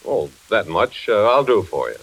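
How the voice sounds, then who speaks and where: A man's voice: lowish voice, big pitch swings, moderate pace, fairly bright tone, heavily acted, poor audio. An older American man playing an easygoing, reluctant retired investigator in 1940s radio suspense style, folksy and reassuring.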